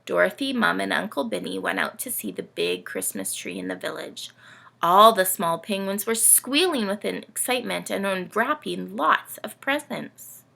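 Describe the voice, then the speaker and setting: A woman reads a storybook aloud for children. The voice is bright and very creaky, with lively swings in pitch and an engaging, expressive storytelling delivery. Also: normal speed. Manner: animated, asks the young listeners questions.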